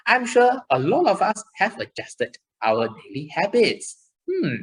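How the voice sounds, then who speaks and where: A woman's voice: mid-range voice, low-quality recording. A woman voicing a chirpy, caring child puppet in a children's show, with simple, encouraging explanations. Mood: earnest, reassuring.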